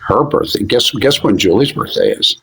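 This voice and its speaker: Man, late fifties, from Philadelphia: low, husky voice with a lively, swinging delivery, blunt and open. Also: moderate pace, a little hiss or hum. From Philadelphia, USA. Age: 50s. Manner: candid, direct, talkative. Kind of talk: casual live-stream chat.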